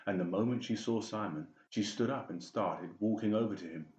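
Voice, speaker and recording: A man's voice: on the low side, fairly quick, darkish tone, a little hiss or hum. A man narrating a dramatic audiobook story from a script. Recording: roomy, slightly dull sound.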